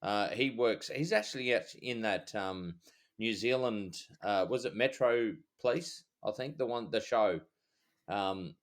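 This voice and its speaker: A middle-aged Australian man, a former cop co-hosting a podcast, chatting in a rambling, halting, easygoing way. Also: on the low side. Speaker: podcast co-host, former police officer. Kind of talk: casual chat.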